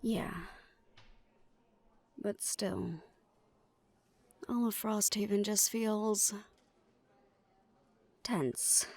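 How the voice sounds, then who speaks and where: A woman's voice: mid-range voice, drawn-out words, gravelly, pauses often, darkish tone, no echo, heavily acted. A woman playing a supportive, protective big-sisterly character, firm and dryly sardonic, in an audio roleplay drama. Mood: worried.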